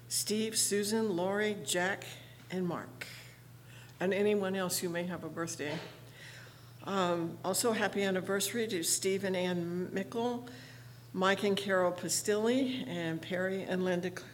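A woman pastor speaks in a chatty, friendly way, pausing often on "uh" and "um". Her voice sounds a little rough this morning, and it sits at an ordinary pitch. A faint room ambience hangs behind her. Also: slight background noise. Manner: friendly, chatty, with frequent fillers. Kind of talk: church announcements and liturgy. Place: church sanctuary.

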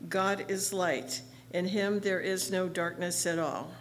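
A woman pastor with a rough voice this morning, giving friendly church announcements with many fillers and leading the liturgy. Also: on the low side, fairly even intonation, slow pace, crowd noise. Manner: friendly, chatty, with frequent fillers. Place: church sanctuary.

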